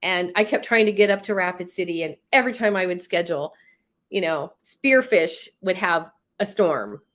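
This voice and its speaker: A woman's voice: medium pitch, a bit bright. Woman host with a head cold, congested, rambling, laughs a lot. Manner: chatty, rambling, laughs often. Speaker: live stream host. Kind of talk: casual live stream chat, reading viewer comments.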